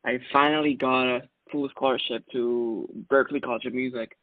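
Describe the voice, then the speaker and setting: A man in his thirties from Ecuador, a music producer answering interview questions in a relaxed, chatty way with lots of fillers. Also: medium pitch, moderate pace, no room sound. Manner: relaxed, chatty, laughs often, many fillers. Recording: dry tinny phone-like sound.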